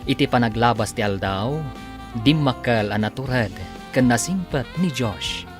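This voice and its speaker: A man narrating a scripted radio drama in a theatrical announcer's delivery. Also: mid-range voice. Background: loud guitar music.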